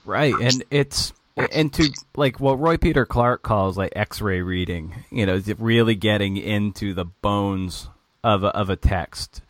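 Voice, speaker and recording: Low-voiced American male interviewer, dry sound. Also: no echo. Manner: halting, circling phrasing of questions. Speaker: podcast host and interviewer. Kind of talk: casual conversational interviewing.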